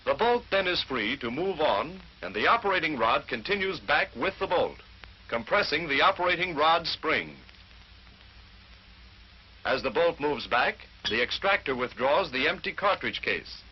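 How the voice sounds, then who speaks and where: A man's voice: fairly high pitch, sing-song, moderate pace, husky. An American man narrating a 1940s instructional film in a scripted, methodical, instructive way. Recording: dull, noisy 1940s film soundtrack.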